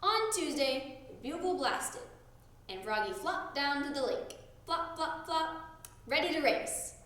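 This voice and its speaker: A young woman reading a children's storybook aloud with expressive narration. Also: high-pitched, a little slow. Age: young adult. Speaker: drama student reading aloud. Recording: very echoey room.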